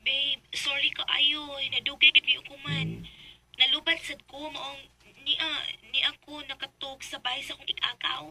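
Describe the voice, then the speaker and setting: A woman's voice: sits high, wildly swooping pitch, normal speed, sharp tone, full character voice, rough recording. A woman with a Cebuano Filipino accent playing an apologetic, evasive young girlfriend in a radio drama. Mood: nervous, guilty. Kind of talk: Bisaya radio drama acting.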